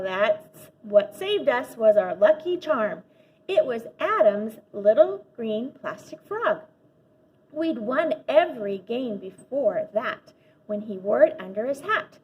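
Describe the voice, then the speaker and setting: A woman's voice: fairly high pitch, sing-song, slow pace. A woman reading a children's book, voicing an imaginative schoolkid who earnestly and mysteriously bluffs through a class speech. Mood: earnest recalling. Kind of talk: children's storybook read-aloud. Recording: dull lo-fi audio with faint noise.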